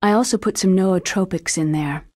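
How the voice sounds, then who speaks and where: Actress as no-nonsense research doctor for a game cutscene: lowish female voice, precise and detached, dry close recording. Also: moderate pace, darkish tone, no echo, natural voice. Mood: cool, informative. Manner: crisp, matter-of-fact, clinical. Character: cool, brisk female military scientist. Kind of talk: video game cutscene acting.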